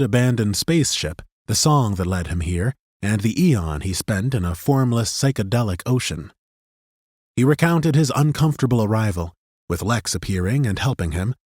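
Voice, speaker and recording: A man narrating a fantasy audiobook in a steady, measured storytelling manner on a studio microphone. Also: fairly low pitch, no echo.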